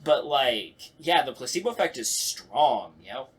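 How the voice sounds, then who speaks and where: A man with a medium-pitched voice takes part in a casual panel chat. He speaks informally, with slang, feeling his way through each idea in halting phrases. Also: sing-song, husky.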